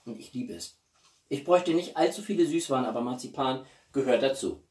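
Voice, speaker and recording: A man in his forties chatting casually as a video blogger, with halting speech and enthusiastic asides. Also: medium pitch, lively intonation, a little mellow. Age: 40s. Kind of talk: casual chatty vlog presenting gifts. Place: small living room, indoors. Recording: roomy echo, distant microphone.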